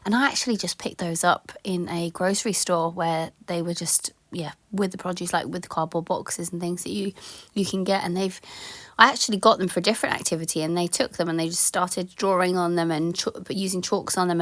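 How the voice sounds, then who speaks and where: A woman in her thirties talks through a home tidying vlog in a relaxed, rambling voice-over full of ums and yeahs. Her voice is slightly croaky from a sore throat, and the recording is dry and close with no room sound. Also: on the low side, brisk, dry recording. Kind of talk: casual voice-over chat.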